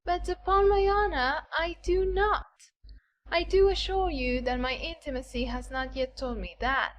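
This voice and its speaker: A woman's voice: high voice, steady tone, a little slow. A woman playing an affected, haughty, flirtatious Regency society lady in a dramatized audiobook, with a theatrical, genteel manner. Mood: coy, affected. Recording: music bed under the voice.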